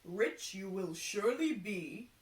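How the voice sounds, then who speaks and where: A woman voicing a sly old male fortune teller, making grand, drawn-out pronouncements in a storybook reading. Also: medium pitch, animated. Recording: roomy echo, distant microphone.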